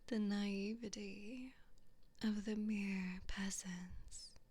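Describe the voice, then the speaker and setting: A woman playing a cruel, haughty evil queen in a whispered ASMR roleplay, taunting and condescending in a breathy voice. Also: mid-range voice, steady tone, extremely slow, darkish tone, dry recording. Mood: condescending, cold.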